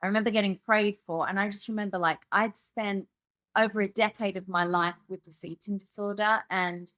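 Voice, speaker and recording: A woman's voice: medium pitch, steady tone, fairly quick, a bit bright, no room sound. Female musician guest from the UK, candid storyteller, filler-heavy, dry recording. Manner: rambling, many fillers and restarts.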